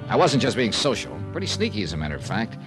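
A man's voice: fairly low pitch, huge pitch swings, fast talker. An actor playing a wry, breezy male insurance investigator, narrating his case in clipped, conversational 1950s radio drama style. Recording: vintage radio recording with music.

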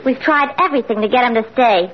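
A woman's voice: on the high side, quick pace, close to an everyday voice. An actress playing a man-hungry, brassy young New woman with affected airs, in 1940s radio comedy style. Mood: eager, brassy. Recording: muffled noisy old radio, studio audience.

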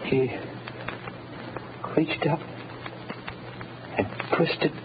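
An actor in an old radio drama playing a bewildered, desperate condemned prisoner, with a halting, plaintive delivery. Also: male voice, on the high side. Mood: halting, anxious. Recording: dull, noisy old radio recording.

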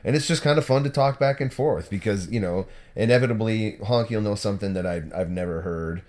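A man's voice: fairly low pitch, fairly quick, darkish tone. A man co-hosting a football podcast, rambling and self-deprecating, with lots of "you know". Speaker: podcast co-host. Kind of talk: casual football chat.

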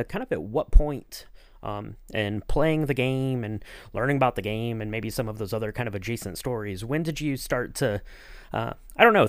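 A man's voice: fairly low pitch, no room sound, studio quality. A man hosting a podcast interview in a casual, rambling, informal manner. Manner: rambling, informal, often saying you know.

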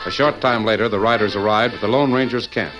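A man's voice: fairly low pitch, fast talker, poor audio. A man with an American accent reading scripted narration for an old-time radio western. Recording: old radio recording over music.

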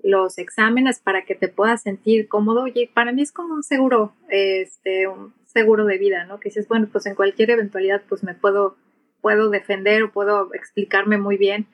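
A woman's voice: mid-range voice, fairly even intonation, moderate pace, low-quality recording. A middle-aged woman with a Mexican Spanish accent, answering conversationally as an interview guest and explaining things through acted-out examples. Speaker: interview guest, pilot and aviation English instructor.

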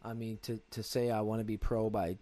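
A man's voice: lowish voice, flat delivery, fairly quick, warm tone. Earnest young man chatting casually. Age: 20s. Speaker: podcast guest, amateur MMA fighter. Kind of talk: casual chat.

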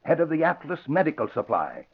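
A man's voice: on the high side, wildly swooping pitch, brisk, husky, heavily acted. An actor playing an older American father, proud and eager, with emphatic folksy exclamations in 1940s radio drama style. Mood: earnest, proud. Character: proud, eager old father, former Texas rider. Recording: muffled, noisy vintage radio recording.

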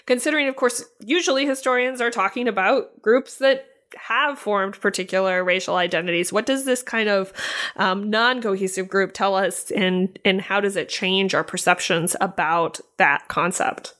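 A woman's voice: mid-range voice, normal speed, a bit bright. A woman hosting an academic interview, putting thoughtful, detailed questions to a guest. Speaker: interviewer, podcast host.

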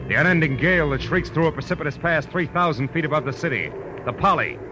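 An actor playing a tough, wry private detective in a vintage radio drama, dry and terse. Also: male voice, medium pitch, highs cut off, close to an everyday voice. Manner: hard-boiled, dry, terse. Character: tough, wry male private detective narrating his case. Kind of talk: 1940s radio drama acting and narration.

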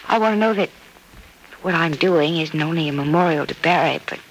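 An actress playing a selfless, earnest young American daughter in a sincere, emotive old radio soap opera style. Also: female voice, low voice, a little fast, close to an everyday voice. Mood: wistful, earnest. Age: young adult. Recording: noisy vintage radio drama recording.

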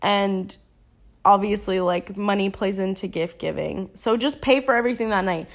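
A woman's voice: mid-range voice, normal speed. Sniffly young woman chatting on her podcast. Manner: rambling, chatty, slangy, laughs at herself. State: sick, sniffling. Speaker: podcast host. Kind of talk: casual solo podcast chat.

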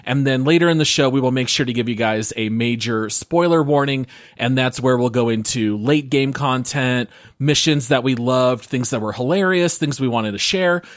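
A man's voice: medium pitch, normal speed, few pauses, no echo. A man hosting a casual gaming podcast, upbeat and leading the discussion on a close mic. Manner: upbeat, leads the segment. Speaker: podcast host.

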